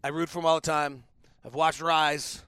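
A man's voice: a little high, flat delivery, moderate pace, pushed and bright, smooth, no room sound, clean studio sound. A man hosting a casual sports talk interview, giving opinions and rambling into stories. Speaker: podcast host.

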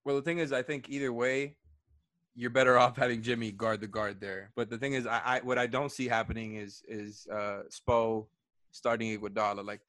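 A man's voice: medium pitch, little pitch movement, normal speed, fairly bright tone, dry recording. A man co-hosting a podcast, chatting about basketball lineups, thinking aloud with many restarts. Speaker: podcast co-host. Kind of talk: casual sports chat.

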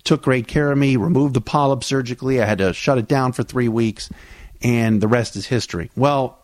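A man talks through a solo sports podcast. His voice sits in the middle range and sounds dry and close to the mic. He is easygoing and chatty, often pausing on "uh" while his voice recovers from surgery. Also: medium pitch, a little mellow, no echo. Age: 40s. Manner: chatty, conversational, with frequent "uh" fillers. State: recovering from vocal cord surgery. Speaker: podcast host.

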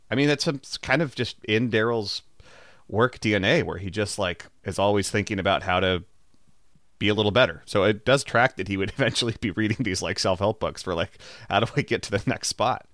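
A man's voice: on the low side, fairly quick, a bit bright, no room sound, clean studio sound. A man co-hosting a podcast, chatting casually and informally about a TV show, often saying "like". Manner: informal, peppered with "like". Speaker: podcast co-host.

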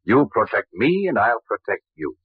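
Low, husky, sardonic radio villain. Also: male voice, very animated, normal speed, full character voice. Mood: coolly threatening. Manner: sardonic, coolly taunting. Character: smooth-talking, menacing, sardonic male murder suspect. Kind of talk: old-time radio mystery acting. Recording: dull old radio recording.

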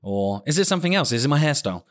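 A man's voice: mid-range voice, big pitch swings, racing. A man hosting a podcast in casual conversation, joking and poking fun at himself. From United Kingdom. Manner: jokey, self-deprecating. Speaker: podcast host.

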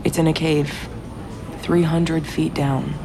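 Grieving woman diver, bit croaky, halting and confessional, guilty, audio drama, loud engine rumble behind her. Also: flat delivery, heavily acted. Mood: grieving, strained. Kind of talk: naturalistic audio drama acting.

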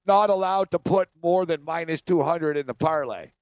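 This is a man hosting a sports betting talk show in a loose, casual way. He laughs often and trips over his words when he gets going. His slightly high voice sounds dry and a little muffled. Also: moderate pace, smooth, no room sound.

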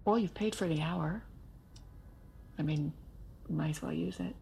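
An actress playing a seasoned, unyielding female therapist in an audio drama, husky and gravelly, with measured, pointed questions. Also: low voice, warm tone, heavily acted. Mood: probing, firm.

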